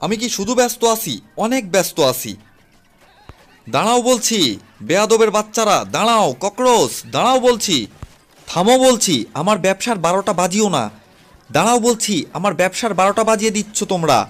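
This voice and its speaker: High-pitched, flustered cartoon cat played by a man. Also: lively intonation, moderate pace. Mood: frantic scolding. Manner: exclaiming, dramatic. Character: excitable, flustered male cartoon cat running a barber parlour. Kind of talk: comic Bangla cartoon fan dub. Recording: music under the voice.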